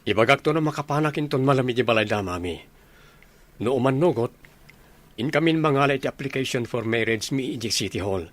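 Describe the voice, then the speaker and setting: An actor plays a young suitor with a mid-pitched voice. He is earnest and affectionate, a dutiful son in a radio-style drama. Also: animated, a little fast, close to an everyday voice. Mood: earnest, grateful.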